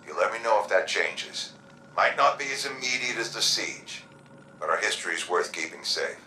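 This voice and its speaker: Casting: fatherly game protector, lowish bright voice, plain-spoken, distant and roomy. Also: expressive, normal speed, slight background noise, heavily acted. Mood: concerned, kindly. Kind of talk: video game voice acting.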